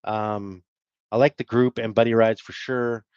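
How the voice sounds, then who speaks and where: A man's voice: mid-range voice, flat delivery, unhurried, clear, resonant tone, no room sound, highs cut off. A middle-aged man hosting a livestream, chatting casually and rambling as he answers viewer comments. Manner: rambling, self-deprecating, with fillers and laughs. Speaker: livestream host.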